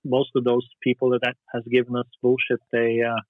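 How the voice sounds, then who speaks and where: A Norwegian musician talking casually in an interview, hesitant, with lots of fillers and restarts. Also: male voice, mid-range voice, completely flat delivery, a little fast, dry recording. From Norway. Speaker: musician guest. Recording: dry tinny phone line.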